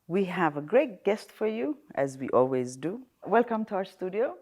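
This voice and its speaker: A woman's voice: fairly low pitch, very animated, normal speed, a little mellow, husky. A woman hosting a studio interview show, greeting her guest in polite, formal host phrasing. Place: television studio.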